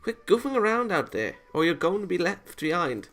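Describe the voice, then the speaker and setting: A man voicing an excitable, bossy, impatient anime girl while reading a visual novel. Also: high voice, brisk, soft background music, pitched above the performer's own voice.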